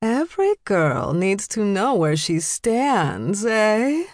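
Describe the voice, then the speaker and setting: A woman's voice: medium pitch, very animated, takes it slowly, a little mellow, no room sound, studio quality, pitched above the performer's own voice. A woman voicing a tipsy, gossipy middle-aged Canadian socialite with slurred, effusive speech in an audiobook. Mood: tipsy, insinuating. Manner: drawling, nosy, effusive.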